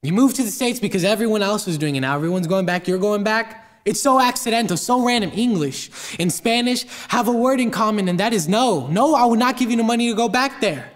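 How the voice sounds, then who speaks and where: Young guy playing a fed up son telling off his dad, super high voice, stage monologue. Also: lively intonation, a little fast, few pauses. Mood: reproachful. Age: teens to young adult. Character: frustrated grown son in his twenties reproaching his father.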